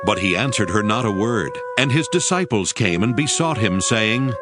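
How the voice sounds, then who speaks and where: A man's voice: on the low side, animated, normal speed. A man narrating scripture in a scripted, dramatic storytelling style. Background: music.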